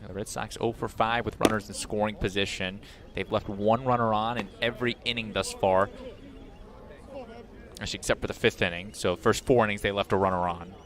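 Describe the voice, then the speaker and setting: A man's voice: fairly low pitch, steady tone, fairly quick, a crowd in the background. A man giving baseball analysis as a color commentator on a game broadcast.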